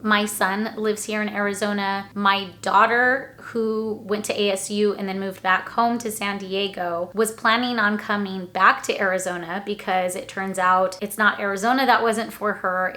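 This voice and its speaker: Woman vlogger, 40s, crisp bright tone, recovering voice, chatty and explanatory, at home with a camera mic. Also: mid-range voice. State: recovering voice after illness. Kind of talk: casual talk to camera.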